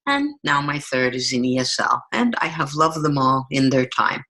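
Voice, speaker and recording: A middle-aged woman speaks in a measured, explanatory manner, like a teacher answering interview questions. Her voice is bright and forward. She takes her time and lays out each point carefully. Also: extremely low pitch, animated. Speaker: ESL teacher.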